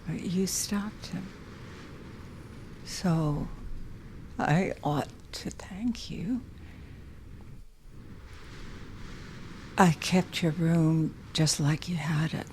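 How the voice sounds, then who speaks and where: A woman playing a moody, guilt-ridden, fragile widow in an audio drama, halting and hushed, often slipping into whispers. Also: on the low side, slight background noise, low-quality recording. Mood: wistful, subdued.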